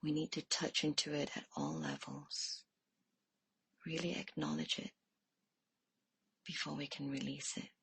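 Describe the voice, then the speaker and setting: A woman leading a guided meditation in a soft, hushed way, leaving pauses between phrases. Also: low voice, big pitch swings, gravelly, husky, dry recording.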